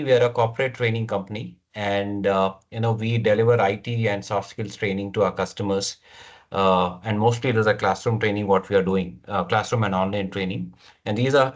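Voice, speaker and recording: A fairly low-voiced man with an Indian English accent hosts an online webinar over a video call. He talks in a loose, halting way and fills gaps with "uh" and "you know". The audio is dull and lo-fi. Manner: hesitant, many fillers. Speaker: webinar host. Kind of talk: casual webinar hosting. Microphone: computer mic on video call.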